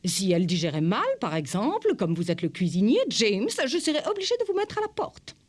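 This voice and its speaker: A woman's voice: mid-range voice, very animated, a little fast, darkish tone. A woman playing a stern, imperious boarding school headmistress, formal and commanding in a cartoon. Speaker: voice actor in a French animated series dub. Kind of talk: cartoon dub acting.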